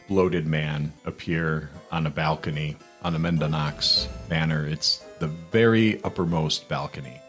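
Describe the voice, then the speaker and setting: A man narrating scenes descriptively as the game master of a tabletop fantasy game. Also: low-pitched.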